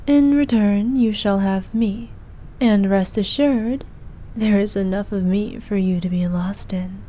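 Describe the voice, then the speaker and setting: A woman playing a regal, possessive evil queen who dotes on her knight in an intimate ASMR roleplay, speaking formally and softly, at times in breathy whispers. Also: mid-range voice, a little hiss or hum, lightly acted. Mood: tender, possessive. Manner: regal, slow, archaic formal speech.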